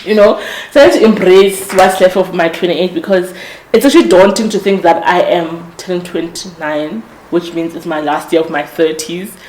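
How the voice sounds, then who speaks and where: A woman's voice: on the low side, normal speed, a little mellow, roomy sound, a little hiss or hum, rough recording. A woman in her late twenties hosting a podcast, talking earnestly and reflectively, often correcting herself mid-sentence. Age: 20s. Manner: earnest, reflective, with frequent restarts and self-corrections. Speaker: podcast host. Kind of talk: casual motivational monologue.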